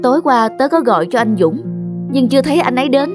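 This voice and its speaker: A frank, caring young female friend in a cartoon drama, giving concerned advice. Her voice sits fairly high, with clear music behind it. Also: expressive, fast. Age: young adult. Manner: advising, concerned. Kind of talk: animated cartoon dialogue.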